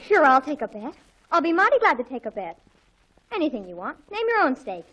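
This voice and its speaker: A woman acting an excitable teenage daughter with an American accent, gushing and melodramatic, in a 1940s radio sitcom. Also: sits high, a little fast, lo-fi sound. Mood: haughty, boastful. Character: excitable, dramatic teenage daughter in a family comedy.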